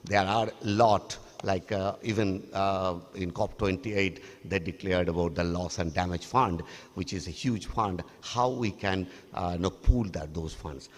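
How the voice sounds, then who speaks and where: A man giving a formal conference presentation, pausing often with fillers and restarts. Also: low voice, steady tone, slight background noise. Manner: halting, frequent uh and restarts. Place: large conference hall. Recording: reverberant hall.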